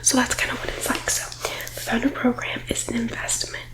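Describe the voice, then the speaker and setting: A woman whispering breathily in a persuasive ASMR roleplay, like a team leader giving a sales pitch, close to the microphone. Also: a little fast, rough recording.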